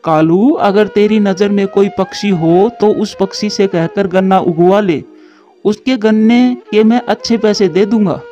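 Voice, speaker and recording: A man's voice: sits high, measured pace, dark tone, muffled recording, faint music behind. A man playing a worried pigeon businessman in a children's cartoon, explaining himself earnestly to a friend. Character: worried male pigeon factory owner, grateful friend. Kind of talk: Hindi cartoon voice acting.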